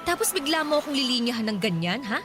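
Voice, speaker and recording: An actress playing a hurt, guarded young working woman who answers in sharp, challenging retorts in a radio drama. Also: high voice, very animated, fast talker, over music. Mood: indignant.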